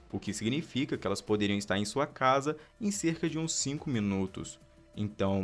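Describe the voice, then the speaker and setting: A man with a Brazilian accent narrating a scripted horror explainer as a voice-over, with casual asides. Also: medium pitch. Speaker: video narrator.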